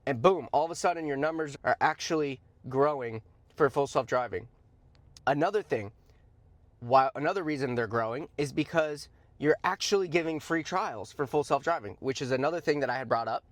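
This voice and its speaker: Blunt, higher-pitched man giving commentary. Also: brisk, no room sound. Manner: blunt, emphatic, repeats points for stress; conversational, slangy asides. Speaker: host of a stock commentary show. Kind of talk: informal opinionated commentary.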